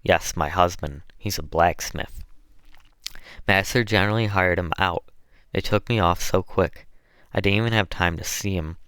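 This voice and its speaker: A man's voice: low voice, moderate pace, fairly bright tone, clean tone, no echo, clean studio sound. A man acting out a weary enslaved Southern woman. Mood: weary, sorrowful. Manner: plaintive, folksy dialect speech. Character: weary, sorrowful enslaved woman telling her troubles.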